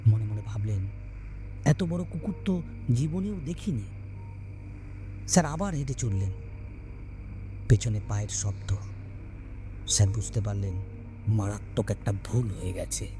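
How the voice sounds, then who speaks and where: A man's voice: fairly low pitch, measured pace, pauses often. Man narrating horror, music underneath. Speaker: storyteller, channel host. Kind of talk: scripted horror story narration.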